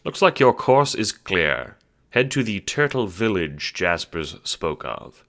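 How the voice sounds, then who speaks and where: Low-voiced man narrating an audio drama. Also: very animated, takes it slowly, a bit bright. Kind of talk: scripted second-person storybook narration.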